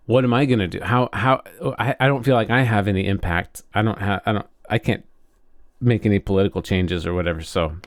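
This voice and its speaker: A man's voice: on the low side, fairly quick, dry recording, studio quality. An American man co-hosting a podcast in a casual chat, speaking frankly with the odd swear word. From United States. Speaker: podcast co-host.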